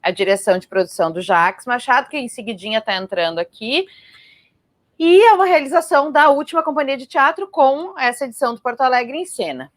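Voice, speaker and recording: Brazilian woman presenter with a higher-pitched voice. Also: expressive, a little fast. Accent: Brazilian Portuguese. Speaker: festival show host and interviewer. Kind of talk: presenting and interviewing on a festival programme.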